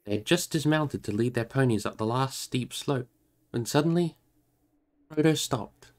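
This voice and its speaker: A man's voice: medium pitch, moderate pace. A man narrating a book aloud on a livestream, moving between storybook reading and casual chat with listeners.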